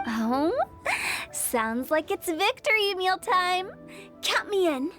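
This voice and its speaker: A sunny, friendly young woman with a very high voice. She sounds casual and upbeat in anime game style, with faint music behind her. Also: very animated, slow pace, bright, forceful tone, heavily acted.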